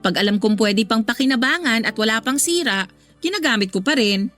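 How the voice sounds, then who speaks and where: A woman's voice: fairly high pitch, expressive, brisk, fairly bright tone. An actress playing a patient, polite young working woman in a radio drama, over faint background music. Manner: polite, restrained, respectful. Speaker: goddaughter.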